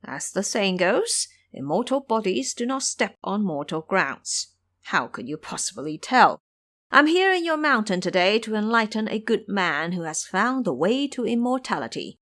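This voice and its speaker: A woman's voice: mid-range voice, sing-song, normal speed, a bit bright, no room sound. A woman voicing a cunning, brash male monkey hero in an audio drama, with a sly, boastful, theatrical manner. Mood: sly, smug. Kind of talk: audio drama character acting.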